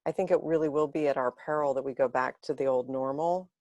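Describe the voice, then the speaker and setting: A woman's voice: extremely low pitch, fast, a little mellow, no room sound, muffled recording. A woman guest speaking earnestly and reflectively, building long flowing thoughts in conversation. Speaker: interview guest.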